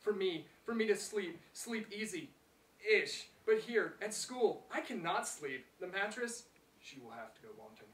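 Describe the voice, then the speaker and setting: A man's voice: high-pitched, animated, a little slow, fairly bright tone. A man performing spoken-word poetry in a dramatic manner, in short clipped phrases. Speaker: poet reading own work. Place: small theater, live reading. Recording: roomy theater, distant mic, faint noise.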